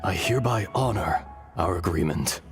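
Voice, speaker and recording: An actor playing an ancient, world-weary earth dragon who speaks gravely and poetically in a video game. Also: male voice, deep voice, expressive, moderate pace, over music. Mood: resigned farewell. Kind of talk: video game story dialogue.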